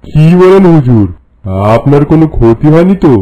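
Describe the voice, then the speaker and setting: A man's voice: fairly high pitch, expressive, fairly quick, mellow, natural voice, low-quality recording. A man voicing a servile, fawning demon henchman in an exaggerated cartoon style. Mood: apologetic, fawning.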